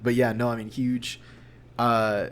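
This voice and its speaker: A young man chatting casually as an interview guest, rambling and halting in a self-deprecating way. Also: mid-range voice, little pitch movement. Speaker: voice actor guest.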